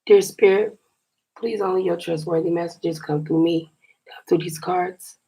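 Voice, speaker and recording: A woman reading tarot cards for her viewers in a chatty, encouraging way, her voice a little scratchy and raspy today. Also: on the low side, steady tone, a little slow, dark tone. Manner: chatty and encouraging, often says "you guys". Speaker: tarot card reader.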